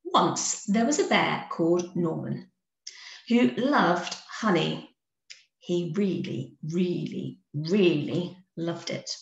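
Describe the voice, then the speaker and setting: A woman teacher reads a children's story with plenty of expression. Her pace is unhurried and her pitch rises and falls in a lively way. The recording has a noticeable room echo. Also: gravelly, dull audio. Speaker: teacher reading to pupils. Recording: echoey room.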